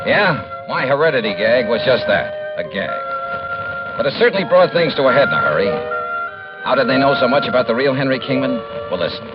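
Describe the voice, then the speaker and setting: A man's voice: mid-range voice, sing-song, brisk, natural voice. An American man playing a shrewd, commanding insurance investigator in a mid-century radio detective drama. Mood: wry, brisk. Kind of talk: 1950s radio detective drama acting and narration. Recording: dull old radio recording with music behind.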